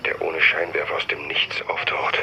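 A man playing a sombre traveller, narrating a literary radio drama in a measured, descriptive way. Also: extremely low pitch, monotone, vocal fry, heavy background noise. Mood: tense, hushed.

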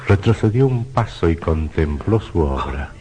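Very deep-voiced male horror narrator. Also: expressive, measured pace, mellow. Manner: suspenseful, theatrical, sinister. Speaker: narrator of a horror radio play. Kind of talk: dramatic scripted horror narration. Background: music.

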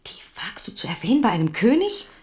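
An actress in a German radio drama playing an eager, ambitious confidante who urges and scolds. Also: female voice, on the high side, fast talker, slight background noise. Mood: scolding, excited. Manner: urgent, scolding, excitable. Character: eager, ambitious female confidante scheming for wealth and power.

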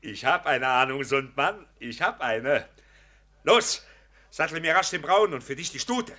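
Forceful gentleman estate owner. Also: on the high side, animated, a little slow. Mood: amused, excited. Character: amused, commanding gentleman ironworks owner. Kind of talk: radio play acting.

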